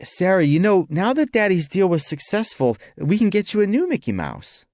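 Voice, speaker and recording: A man voicing a confident, ruthless young businessman in an audiobook, speaking firmly and deliberately. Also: lowish voice, sing-song, no echo, clean studio sound, full character voice. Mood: gentle, fatherly. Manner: firm, deliberate, commanding.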